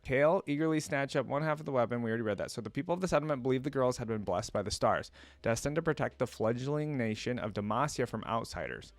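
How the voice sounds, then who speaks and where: A man's voice: medium pitch, no echo. Casual man in a dry, close recording. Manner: informal, thinks aloud while commenting. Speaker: reaction video host. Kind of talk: casual reaction commentary mixed with reading lore aloud.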